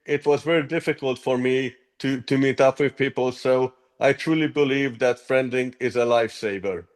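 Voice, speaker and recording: A man's voice: mid-range voice, fairly even intonation, moderate pace. A man from Hungary, an app co-founder, giving earnest interview answers with frequent ums and restarts.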